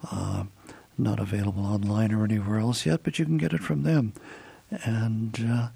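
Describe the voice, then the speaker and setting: Man, radio music host, gravelly voice, husky, halting delivery with many pauses. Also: lowish voice, fairly even intonation, mellow, no room sound. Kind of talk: unscripted radio presenting.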